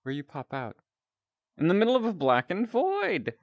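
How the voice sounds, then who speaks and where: A man with a deep, announcer-like voice, chatting casually with viewers while he plays a game. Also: normal speed, no echo, studio quality. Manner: relaxed, rambling, chatting to viewers. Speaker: streamer.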